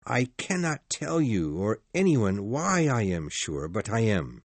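A man acting a grave, formal gentleman in a gothic mystery audiobook, speaking in a deliberate, earnest way. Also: fairly low pitch, sing-song, dry recording, exaggerated voice. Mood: earnest, insistent. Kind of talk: dramatised audiobook dialogue.

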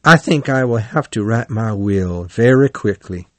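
A man's voice: lowish voice, animated, normal speed, no room sound, natural voice. A man voicing a melodramatic, self-pitying male toad in an animal storybook, in a weak, plaintive, martyr-like way. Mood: plaintive, feigning illness. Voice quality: weak, faint.

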